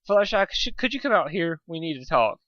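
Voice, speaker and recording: A man's voice: on the high side, big pitch swings, a little fast, fairly bright tone, no room sound, dull audio, voice pushed up. A man voicing an earnest, determined young male unicorn pony in a storybook reading, insistent and a little flustered.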